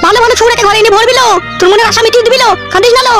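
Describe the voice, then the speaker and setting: Extremely high-pitched scolding cartoon housewife. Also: female voice, steady tone, very fast, over loud music. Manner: haranguing, foul-mouthed, forceful. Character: fierce, scolding village housewife and mother, bossy and scheming. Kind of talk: cartoon comedy dialogue.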